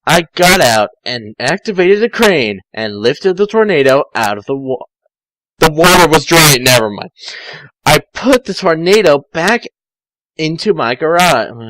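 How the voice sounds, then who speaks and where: A man's voice: medium pitch, a bit bright, no room sound, low-quality recording. A man reading a story aloud informally, theatrical and often stumbling or correcting himself. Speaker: amateur fan fiction reader.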